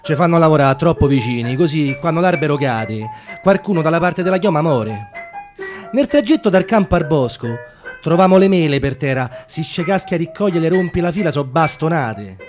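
An actor playing a bitter, disillusioned deported Italian labourer with a Roman accent, in a radio drama. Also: male voice, on the high side, lively intonation, moderate pace, over music, natural voice. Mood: grim, bitter. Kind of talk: radio drama acting, first-person monologue.

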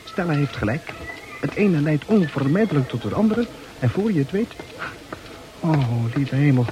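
An actor playing a fretful muttering bachelor. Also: male voice, on the high side, animated, normal speed, lightly acted. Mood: fretting. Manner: anxious inner monologue. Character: nervous bachelor muttering his thoughts. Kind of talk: 1960s radio play acting. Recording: noisy old radio play recording.

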